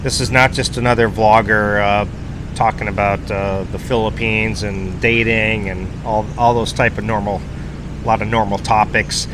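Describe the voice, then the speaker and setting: A man in his fifties hosting an interview, talking casually with many pauses and fillers. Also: lowish voice. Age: 50s. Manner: relaxed, hesitant with many fillers. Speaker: host. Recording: noisy background with traffic.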